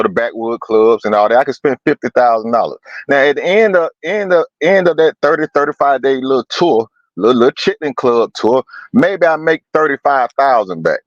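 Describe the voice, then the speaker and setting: A man's voice: mid-range voice, dry recording, highs cut off. A man on a stream panel pushing back with worked examples, speaking. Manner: pushes back, builds argument with examples. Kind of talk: live-stream panel debate.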